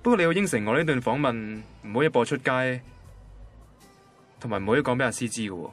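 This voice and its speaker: A man's voice: medium pitch, animated, fast talker, fairly bright tone, soft background music. Pedantic, nerdy young Hong Kong guy. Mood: matter-of-fact. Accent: Hong Kong Cantonese. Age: young adult. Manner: matter-of-fact, pedantic. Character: ordinary nerdy young male banker, literal-minded, defensive. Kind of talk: radio drama dialogue.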